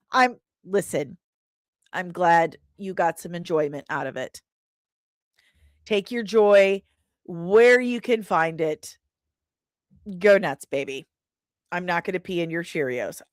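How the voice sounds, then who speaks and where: Chatty woman host, slow-paced and dry-sounding. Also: lowish voice, animated, no room sound. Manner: playful, chatty, irreverent, with pet names and slang. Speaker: host of an entertainment news livestream. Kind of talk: casual commentary, reading and answering live chat comments.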